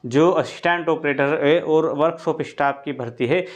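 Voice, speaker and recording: A man's voice: a little high, normal speed. A man hosting an exam-update video, explaining in a casual spoken way straight to his viewers and repeating points and dates again and again. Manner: repetitive, keeps restating points and dates; addresses listeners directly throughout.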